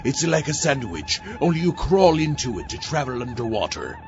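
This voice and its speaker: A man playing a drunken, scheming, excitable pirate captain in a comic audio drama, gravelly and theatrical. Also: fairly high pitch, normal speed, highs cut off, a crowd in the background. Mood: blustering. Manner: theatrical, swooping, pirate-talk phrasing.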